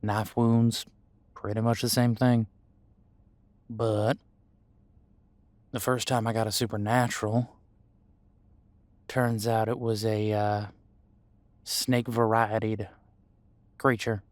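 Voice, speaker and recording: A man playing an easygoing demon homicide detective in an audio roleplay, talking in a casual, folksy, reassuring way with hesitant pauses. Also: on the low side, little pitch movement, no room sound. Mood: reflective. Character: easygoing male demon homicide detective mentoring new partner. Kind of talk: audio roleplay drama, conversational monologue.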